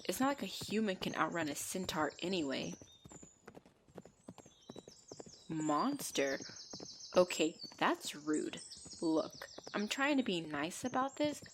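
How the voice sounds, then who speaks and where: A woman's voice: lowish voice, sing-song, a little slow, slight background noise. A woman voicing a possessive female centaur in an ASMR roleplay, bantering and commanding, with dry exasperation. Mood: dry, teasing. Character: possessive female centaur, bossy, teasing, half-gentle captor.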